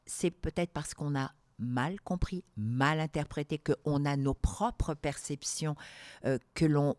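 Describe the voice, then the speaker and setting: An older woman from Morocco and Algeria, speaking as an interview guest in a calm, measured way with emphatic repetitions. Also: sits low, dry recording. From born in Morocco, grew up in Algeria. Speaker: guest, entrepreneur. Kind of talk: reflective interview talk.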